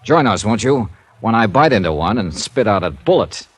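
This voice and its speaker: A man's voice: on the low side, big pitch swings, moderate pace, husky, no room sound. A man playing a world-weary, wry insurance investigator in a 1950s radio detective drama. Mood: wry showmanship. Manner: wry, hard-boiled. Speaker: star and narrator of radio detective serial.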